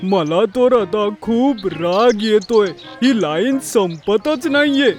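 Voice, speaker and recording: A man's voice: high-pitched, expressive, slow pace, soft background music. A man playing a tired, grumbling young schoolboy in a children's cartoon. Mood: annoyed. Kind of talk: Marathi children's cartoon dialogue.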